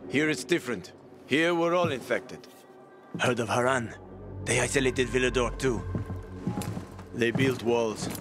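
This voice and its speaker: Streetwise male survivor, video game character, wry, profane, cynical, medium pitch, clear music behind. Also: unhurried, a bit bright. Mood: world-weary. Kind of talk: video game cutscene acting.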